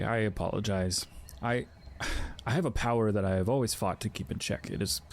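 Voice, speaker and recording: An actor playing a solemn, anxious guardian spirit of fear, speaking formally and haltingly in an audio drama. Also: male voice, fairly low pitch, darkish tone, slight background noise, close to an everyday voice. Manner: formal, halting, stilted wording. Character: Fear, solemn, anxious male emotion spirit, self-sacrificing protector.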